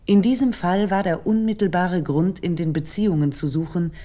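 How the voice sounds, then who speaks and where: A woman with a notably deep, mellow voice delivers a prepared literary talk. Her phrasing is formal and measured, like an essay read aloud by a commentator. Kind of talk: scripted literary lecture.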